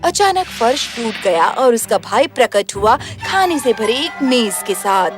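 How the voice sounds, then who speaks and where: A woman's voice: on the high side, very animated, unhurried. A woman narrator reading a scripted children's fairy tale in storytelling style. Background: music.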